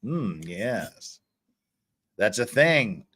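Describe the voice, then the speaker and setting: A man's voice: on the low side, sing-song, very slow, fairly bright tone, husky. A man hosting a livestream with casual, joking, teasing banter. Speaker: livestream host.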